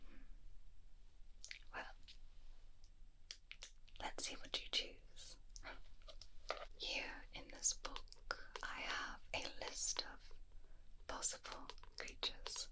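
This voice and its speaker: A woman whispering a fantasy ASMR roleplay as a kindly magical creature keeper, gently and unhurriedly addressing the listener up close. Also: low-quality recording. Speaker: ASMR host playing a roleplay character. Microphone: close binaural microphone.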